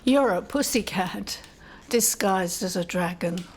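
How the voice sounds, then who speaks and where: Woman acting a gentle, genteel spinster aunt. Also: medium pitch, takes it slowly, husky. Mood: gentle, kindly. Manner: mild, soothing. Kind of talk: audio drama acting.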